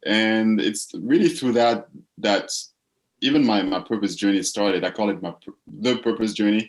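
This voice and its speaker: A man from the Congo, a purpose coach, talking as a guest in a relaxed interview, thoughtful and often repeating words as he searches for phrasing. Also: lowish voice, poor audio. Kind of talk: casual interview talk.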